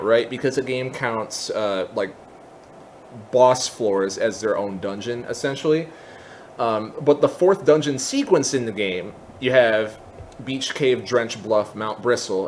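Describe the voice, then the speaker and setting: Man narrating a tutorial over background noise. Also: medium pitch. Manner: explanatory, conversational asides. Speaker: tutorial host. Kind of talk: informal software tutorial narration.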